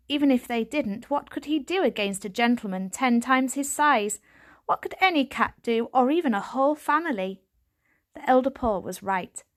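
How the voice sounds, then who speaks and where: Female narrator for children's fiction, slightly high voice, dramatic scripted storytelling. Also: fairly bright tone. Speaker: audiobook reader.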